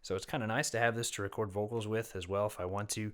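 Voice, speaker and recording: A man casually reviewing and demoing a condenser microphone in a small, fairly dead room. He talks in a relaxed, rambling way with many fillers, and his voice is a little low from recovering from an illness. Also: fast talker. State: slightly low voice, getting over an illness. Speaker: music teacher reviewing a microphone. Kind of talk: casual gear review and demo. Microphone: condenser microphone, no pop filter, varying distance.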